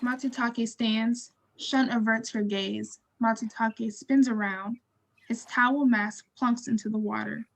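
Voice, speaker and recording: Female narrator, higher pitch, flat intonation, measured, neutral scripted reading. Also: vocal fry. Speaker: narrator reading stage directions.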